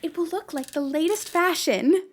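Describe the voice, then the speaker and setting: A woman's voice: very high-pitched, normal speed. A woman playing a young, petulant, eager little sister in an audio drama, with some noise in the recording. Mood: consoling. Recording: noticeable background noise.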